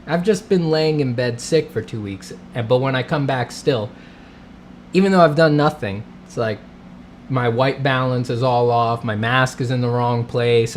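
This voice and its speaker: Brief: stuffy-nosed male streamer, mid-pitched, chatty and meandering, light background hiss. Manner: rambling, self-interrupting, greets chat. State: congested, coughing. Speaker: livestream host, artist. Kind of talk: casual livestream chat. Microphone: streaming setup mic.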